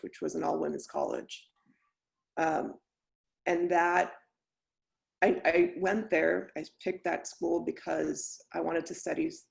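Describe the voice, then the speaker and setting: A woman from Venezuela speaking in a lively, self-deprecating way as an interview guest, laughing easily. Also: lowish voice, gravelly. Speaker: guest, lighting designer. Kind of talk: conversational interview.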